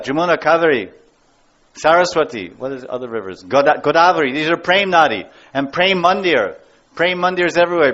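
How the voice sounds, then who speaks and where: A somewhat high-voiced American man teaching a devotional class, emphatic and repetitive to drive each point home. The recording is dull and lo-fi, with the top end muffled. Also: a bit bright. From United States. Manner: emphatic, repeats key words. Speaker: spiritual teacher. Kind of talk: devotional lecture.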